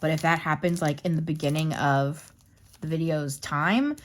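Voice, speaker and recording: A young woman chatting casually as she colors in a craft vlog, her voice going a bit hoarse. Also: low-pitched, fairly even intonation, moderate pace, slight background noise. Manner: rambling, chatty, narrates while coloring. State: losing her voice. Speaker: craft YouTuber.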